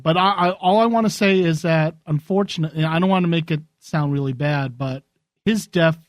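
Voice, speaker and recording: A man with a moderately high voice co-hosts a casual talk show. He speaks in a measured, hesitant way, and the recording is dry with little room sound. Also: dry recording. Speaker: co-host. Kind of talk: casual chat.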